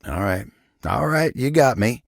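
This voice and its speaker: An actor playing a folksy, tender, patient table turned human in a video game, with an American accent and a gravelly, husky drawl. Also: male voice, mid-range voice, animated, measured pace, no room sound, studio quality. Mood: warm, bashful. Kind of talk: video game character dialogue.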